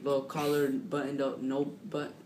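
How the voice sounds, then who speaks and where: Guy telling an animated slangy story, kinda far from the mic, echoey room. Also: mid-range voice, moderate pace. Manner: slangy, repetitive, acts out quotes. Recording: roomy, distant mic, clearly noisy.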